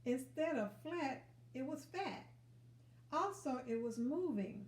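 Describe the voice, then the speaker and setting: A woman reading a children's story aloud expressively, pausing to encourage young listeners with questions. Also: high voice, animated, darkish tone. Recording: echoey room sound.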